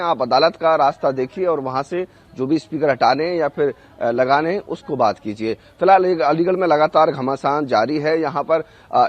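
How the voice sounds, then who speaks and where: Halting male reporter, higher pitch. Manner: halting, searches for words with frequent hesitations. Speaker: news correspondent. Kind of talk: unscripted live news report.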